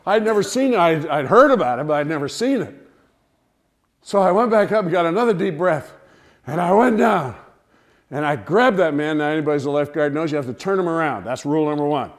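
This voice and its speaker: A man telling a humorous personal anecdote to listeners in an animated, theatrical way. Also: fairly high pitch, fairly quick. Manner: animated, theatrical storytelling with acted-out cries and dialogue. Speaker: storyteller, speaker to an audience.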